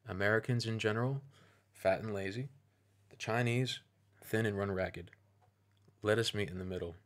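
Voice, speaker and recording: A man with a low, deep voice delivers video commentary over clips. He speaks in a measured, deliberate way, building his points in steady, persuasive phrases.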